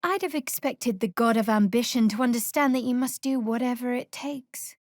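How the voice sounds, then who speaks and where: Woman acting guarded, sardonic young cleric, higher-pitched. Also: darkish tone, dry recording, lightly acted. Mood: dry, sardonic. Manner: dry, sardonic, measured delivery. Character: guarded, sardonic young female cleric adventurer of dark goddess. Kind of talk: fantasy video game voice acting.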